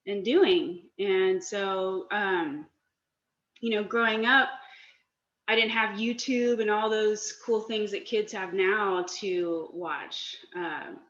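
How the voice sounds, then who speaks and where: A woman's voice: mid-range voice, fairly bright tone. Chatty woman in an echoey kitchen. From Northern California. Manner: friendly, chatty, a little nervous. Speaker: guest speaker, personal chef. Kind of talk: casual presentation on a video call. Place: home kitchen. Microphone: laptop or webcam mic on a video call. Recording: echoey, dull video-call audio.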